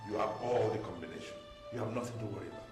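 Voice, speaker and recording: A man's voice: lowish voice, racing, lightly acted. An actor playing an overprotective, domineering middle-aged father in a screen drama, with a pompous, theatrical manner. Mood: doting, reassuring. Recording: roomy echo, music underneath.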